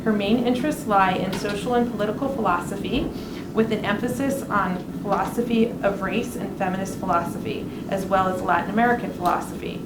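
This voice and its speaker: A woman introducing the speakers at a university event, reading a prepared introduction stiffly with frequent "um"s. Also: medium pitch. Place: university art gallery. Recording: echoey room with loud background noise.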